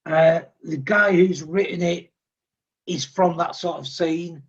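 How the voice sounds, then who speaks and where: English guy, high-pitched voice, teasing, kinda muffled call audio. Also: steady tone, takes it slowly. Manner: wry, teasing, self-promoting. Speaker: panel host, comic artist. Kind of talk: casual panel chat.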